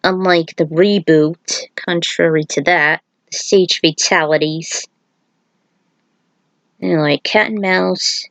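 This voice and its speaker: Unhurried woman reading her story, dry sound. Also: on the low side, steady tone, a bit bright, dry recording. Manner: chatty, self-commenting asides. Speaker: fan fiction writer reading own story. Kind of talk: story reading with casual asides.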